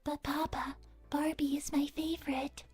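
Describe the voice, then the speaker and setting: A woman's voice: high voice, little pitch movement, moderate pace, darkish tone, soft background music, close to an everyday voice. A woman voicing a polite, childlike AI hologram daughter, earnest and pleading, with stilted, careful delivery in a livestream role-play. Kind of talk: in-character livestream chat.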